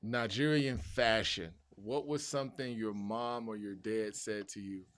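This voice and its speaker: A man's voice: lowish voice, fairly even intonation, measured pace, a bit bright. A man hosting a podcast interview, asking questions in a laid-back, slangy way. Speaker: podcast host. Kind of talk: casual interview.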